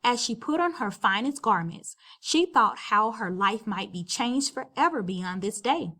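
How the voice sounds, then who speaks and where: A woman narrating a children's storybook as a read-aloud, measured and expressive. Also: on the high side. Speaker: storybook reader.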